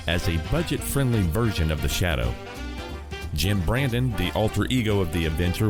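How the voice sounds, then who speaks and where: Deep-voiced male radio host. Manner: steady, informative introductions. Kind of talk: scripted program hosting. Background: music.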